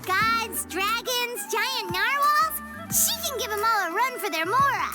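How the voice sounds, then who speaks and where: A woman voicing a tiny, perky, childlike female fairy companion in a video game, with a squeaky, chirpy voice. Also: expressive, measured pace, loud music behind the voice. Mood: eager, confident. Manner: chirpy, refers to self in third person. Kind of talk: video game character voice acting.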